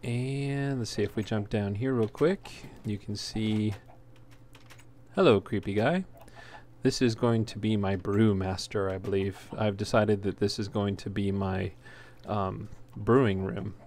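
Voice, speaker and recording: A man's voice: fairly low pitch, fairly even intonation, a little slow, a little mellow. A man hosting a gaming video with casual, unscripted walkthrough commentary, speaking a little hesitantly. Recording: noisy home recording, clear background noise.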